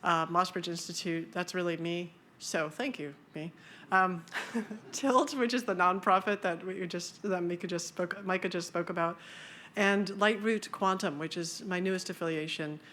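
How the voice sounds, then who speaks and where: Casual woman presenter at a science conference, loose and joking, lower register. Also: normal speed, a bit bright, slight background noise. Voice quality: high-pitched voice. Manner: casual, self-deprecating, many fillers. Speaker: conference speaker.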